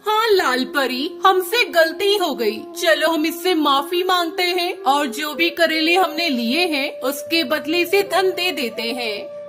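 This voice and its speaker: Woman as a very high-pitched kind fairy. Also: slow pace, music in the background. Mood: apologetic. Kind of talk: Hindi children's cartoon story acting.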